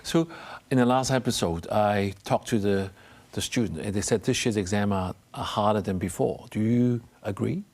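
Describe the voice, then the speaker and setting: A man's voice: on the low side, normal speed, darkish tone. A man hosting a television talk show, presenting and putting questions to guests in a studio interview.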